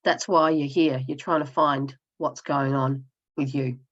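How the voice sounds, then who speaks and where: A woman in her fifties introducing herself on a video call, reflective and halting with fillers. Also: very deep voice, fairly even intonation. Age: 50s. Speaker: coaching group member. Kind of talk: casual self-introduction. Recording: dull lo-fi video call audio.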